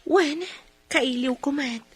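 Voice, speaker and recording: A woman's voice: sits high, slow pace. A woman playing a wistful mother who longs for her child in an Ilocano radio drama, with an Ilocano accent. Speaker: voice actor in radio comedy drama.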